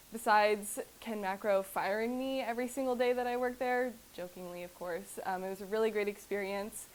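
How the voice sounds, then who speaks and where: A woman's voice: mid-range voice, low-quality recording. A young woman, a graduating student, chatting in a lightly self-deprecating way. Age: young adult. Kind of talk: casual personal reminiscence.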